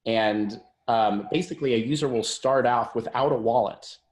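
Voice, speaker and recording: A man gives an informal presentation as a tech executive on a conference call. His voice is mid-pitched, and the sound is roomy, with audible echo. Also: normal speed, darkish tone, slight background noise.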